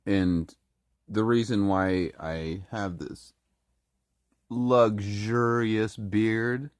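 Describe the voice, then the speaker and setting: A speaker with a deep voice who has been a little sick, talking casually to the camera in a halting way with many fillers and restarts. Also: male voice, fairly even intonation, no room sound. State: a little sick, throat-clearing. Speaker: vlogger.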